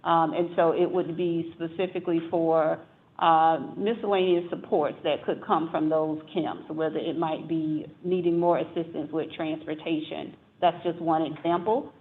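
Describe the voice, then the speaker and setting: A woman's voice: low-pitched, fairly even intonation, normal speed. A woman staff member answering a board question, explaining plainly with frequent fillers. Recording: tinny phone-like meeting audio from far off.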